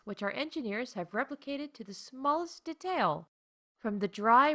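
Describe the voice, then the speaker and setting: A woman playing an upbeat theme park announcer in space, reading scripted comedic announcements in a deadpan corporate manner with emphatic asides. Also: a little high. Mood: chipper, informative.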